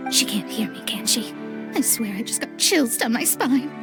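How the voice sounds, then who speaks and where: A woman's voice: a little high, sing-song, normal speed, music in the background. A woman voicing a proud, dramatic young astrologer in a video game, wry and haughty in manner. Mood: indignant. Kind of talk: video game cutscene acting.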